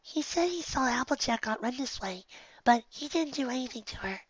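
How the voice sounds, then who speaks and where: A reader voicing a shy, gentle female pegasus pony in an audiobook reading, with a soft, hesitant manner. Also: sits high, expressive, moderate pace, husky, dry recording, highs cut off, pitched above the performer's own voice. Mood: timid.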